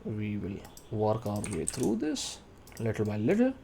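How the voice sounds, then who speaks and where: A man in his thirties narrating a step-by-step software tutorial, explaining each action as he does it. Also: fairly low pitch, wildly swooping pitch, measured pace, creaky, a little hiss or hum. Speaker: tutorial instructor.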